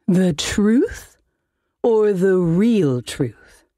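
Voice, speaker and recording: A woman puts on an imperious wizard tyrant for a fantasy storybook. He is pompous and threatening, with deliberate menace in every phrase. The pitch leaps high and swings widely, with a husky grain. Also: animated, warm tone, no room sound, clean studio sound. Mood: suspicious, threatening. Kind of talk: acted audiobook storytelling.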